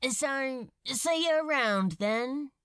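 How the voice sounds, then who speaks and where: A woman's voice: sits high, expressive, slow pace, a bit bright, clean tone, dry recording, clean studio sound, pitched above the performer's own voice, exaggerated voice. A woman voicing a casual male demon in an audiobook story, calling out in an informal way. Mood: tentative. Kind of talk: audiobook character dialogue.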